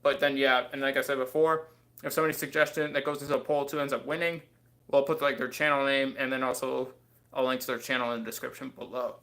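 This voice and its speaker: A man's voice: medium pitch, flat delivery, fast talker, a bit bright. A man in his thirties hosting a casual livestream from a small bedroom, rambling with lots of fillers into a desk webcam. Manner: rambling, many fillers and "too". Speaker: livestream host. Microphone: webcam on desk, close.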